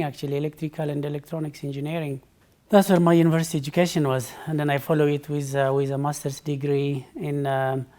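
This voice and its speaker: A man's voice: a little high, a little mellow. A middle-aged man from Ethiopia, a guest in a conversational interview, who answers thoughtfully with frequent fillers and restarts.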